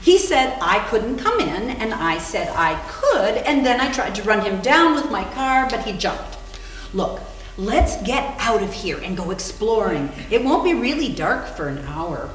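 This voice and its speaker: A woman's voice: medium pitch, big pitch swings, measured pace. A woman playing a bold, witty young female houseguest with a chatty, wry manner in a staged reading. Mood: breezy. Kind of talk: staged readers theater. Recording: roomy, echoing hall with hum.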